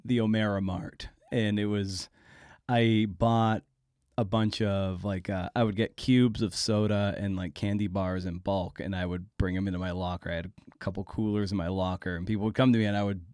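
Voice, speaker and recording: A man's voice: lowish voice, steady tone, moderate pace, darkish tone, smooth, no room sound, clean studio sound. A man hosting a podcast interview in a conversational, informal way, feeling his way toward questions and sharing anecdotes. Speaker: podcast host.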